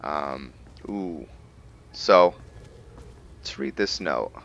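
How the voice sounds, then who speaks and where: A man giving casual commentary on a roleplay video game, halting as he thinks aloud and explains the lore. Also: very deep voice, animated, a bit bright, lo-fi sound. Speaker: gamer narrating a roleplay playthrough.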